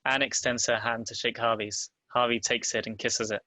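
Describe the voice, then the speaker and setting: A man's voice: mid-range voice, little pitch movement, moderate pace, sharp tone, no echo. A man narrating stage directions in a scripted table read.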